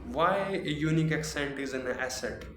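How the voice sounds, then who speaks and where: A man from Haryana with an Indian accent, practicing English and pausing to find words. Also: fairly high pitch. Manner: hesitant, searching for words. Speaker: English learner. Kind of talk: practice conversation. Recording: roomy room with noise.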